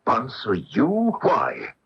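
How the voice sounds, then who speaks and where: Embittered, gravelly old professor. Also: male voice, medium pitch, huge pitch swings, very slow, no room sound, heavily acted. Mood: wounded, bitter. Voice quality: gravelly, husky. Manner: intense, theatrical. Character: proud, embittered older male professor, desperate and menacing. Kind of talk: 1970s-80s radio drama acting. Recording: dull lo-fi AM radio recording.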